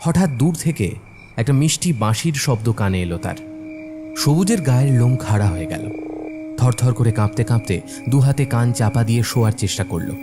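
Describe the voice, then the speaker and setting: A man's voice: mid-range voice, lively intonation, brisk, over music. A man narrating a scripted horror story as its storyteller.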